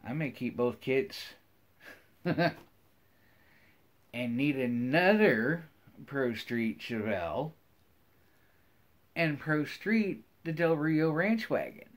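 A man's voice: mid-range voice, big pitch swings, very slow, pauses often. A middle-aged man with an American accent chats casually to viewers as a hobby vlogger, rambling in a folksy way and often repeating his phrases. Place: wood-paneled room at home, indoors.

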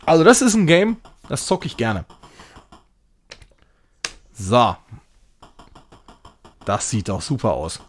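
Animated man chatting on stream. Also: medium pitch, slow pace, long pauses, slight background noise. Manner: jokey, chatty, self-ironic, slipping into mock-grand English. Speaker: game streamer. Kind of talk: casual live-stream gameplay commentary.

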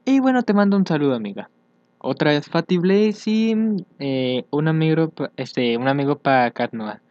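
Young man, slightly high voice, casual vlogger, hesitant, thinking aloud, dry muffled home recording. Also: lively intonation, normal speed, a little mellow, dry recording. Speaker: fandub YouTuber. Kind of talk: casual reaction vlog, reading his social media aloud. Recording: dull, lo-fi home microphone.

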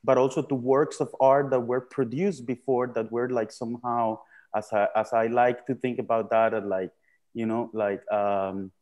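A man from Colombia speaking as a panel guest on a video call, reflecting slowly with many fillers, restarts and repeated words. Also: mid-range voice, a little mellow. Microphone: video call webcam.

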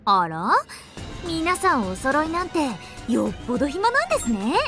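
High, smug anime android girl. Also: huge pitch swings, moderate pace, over music, heavily acted. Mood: smug, mocking. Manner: smug, snide, polite-worded sarcasm. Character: haughty, teasing high-spec android girl of anime game. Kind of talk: Japanese anime game voice acting.

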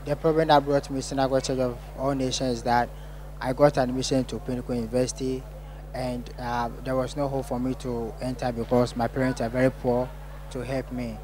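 Young guy w ghanaian accent giving testimony, pretty monotone, bit of hum and outdoor noise. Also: mid-range voice, fairly quick, poor audio. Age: young adult. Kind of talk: interview testimony.

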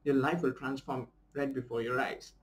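A man's voice: medium pitch, fairly even intonation, normal speed. A young adult man from India, a native Bengali speaker with an Indian English accent, giving an earnest, enthusiastic self-help talk as a life coach and often checking in with "okay?". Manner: earnest and enthusiastic, tags sentences with a questioning "okay?". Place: small room at home, indoors, seated in a desk chair.

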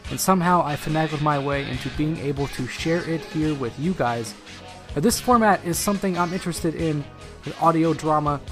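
Man, podcast host, slightly high voice, casual intro, guitar music behind.

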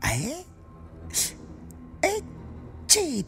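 A man voicing a flustered, curious male tomb raider with a halting stammer in an audiobook. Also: very high-pitched, huge pitch swings, vocal fry, pushed and bright, faint music behind, pitched above the performer's own voice, exaggerated voice. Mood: puzzled.

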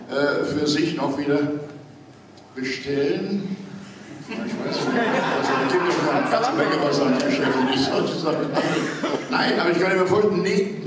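An older man chatting jokily as a guest on a convention stage, in an echoey hall. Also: fairly high pitch. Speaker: actor, convention panel guest. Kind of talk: casual joking chat on stage. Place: theatre stage in a large hall. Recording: echoey noisy hall from far off.

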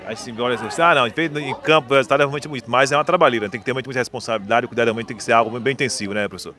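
A man's voice: medium pitch, very fast, pushed and bright, a faint crowd. A man, a radio reporter rambling through questions with many tag questions, on a handheld mic outdoors. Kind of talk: outdoor interview. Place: stadium stands outdoors.

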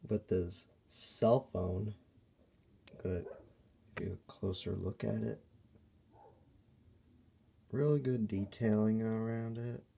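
A man giving a casual, unscripted show-and-tell as a toy reviewer, speaking in halting, broken phrases. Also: fairly low pitch, vocal fry, dark tone, breathy edge. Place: indoors at a table by a window.

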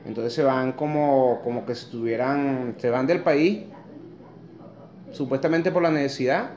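A man's voice: medium pitch, unhurried, a little mellow, muffled recording. A Venezuelan man in his forties rants into a phone held close in his kitchen, blunt and indignant, dropping curses and sarcastic jabs. His voice sits mid-range and plain. The room around him rings with a bouncy echo. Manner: indignant, blunt, swears, sarcastic mocking asides. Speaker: vlogger. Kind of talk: angry opinion rant to camera. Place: kitchen at home indoors. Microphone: phone camera held close.

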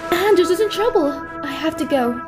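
Lady doing a serious young fairy princess, cartoon, really high urgent voice, music behind. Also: animated, brisk. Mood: grave, urgent. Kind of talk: animated cartoon fan dub.

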